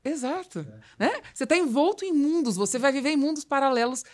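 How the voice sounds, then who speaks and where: Fast-talking Brazilian woman hosting a podcast. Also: high voice, animated. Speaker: podcast host. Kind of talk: conversational business podcast discussion.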